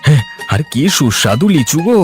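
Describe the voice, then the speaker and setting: A man's voice: mid-range voice, big pitch swings, normal speed, soft background music, lightly acted. A man playing a poor, humble village father in a cartoon fairy tale, speaking earnestly with a plaintive edge. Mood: amazed.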